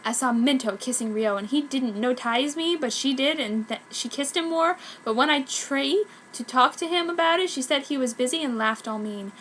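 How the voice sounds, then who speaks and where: High, bright-voiced woman reading fan fiction. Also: moderate pace, hardly any pauses, slight background noise, poor audio. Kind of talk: dramatic amateur story reading.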